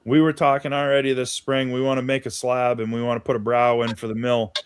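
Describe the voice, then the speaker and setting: A man's voice: medium pitch, flat delivery, fast talker, clean tone. A man from Maine telling stories in a casual livestream chat, easygoing and folksy. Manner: easygoing storyteller, folksy asides. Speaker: guest.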